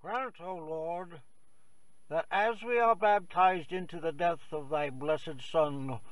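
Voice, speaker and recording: Higher-voiced man praying slowly and formally. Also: dry recording, distant mic, poor audio. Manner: measured, reverent, formal. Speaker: prayer reader. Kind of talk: solemn liturgical prayer.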